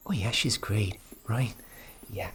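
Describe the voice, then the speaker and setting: A performer voicing a flustered, kindly fairy magic specialist in a fantasy ASMR roleplay, speaking softly and hesitantly, often dropping into a whisper. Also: mid-range voice, slight background noise. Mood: helpful, gentle. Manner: hesitant, halting, repeats words, often whispering.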